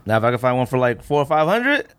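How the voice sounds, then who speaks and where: A man in his thirties hosting a casual chat, rambling and thinking out loud in slangy talk. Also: mid-range voice, very animated, quick pace, clear, resonant tone. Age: 30s. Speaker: podcast host. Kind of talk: casual chat about sneakers.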